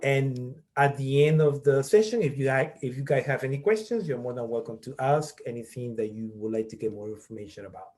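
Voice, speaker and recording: A man's voice: medium pitch, a little fast, few pauses, darkish tone. A middle-aged man from Peru who leads a workshop as a college professor, explaining step by step and often checking in with "okay?". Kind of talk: online workshop presentation.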